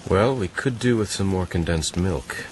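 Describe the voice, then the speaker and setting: Conscientious castaway played by a man, radio drama: very low register, earnest, terse, background noise. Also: moderate pace, lightly acted. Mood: brooding. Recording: noisy outdoor ambience behind.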